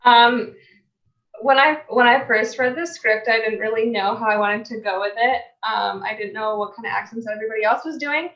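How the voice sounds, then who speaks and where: A woman's voice: on the high side, normal speed. A woman speaking casually as a voice actor guest, explaining her work in a conversational way. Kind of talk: casual chat. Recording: dull, lo-fi call audio.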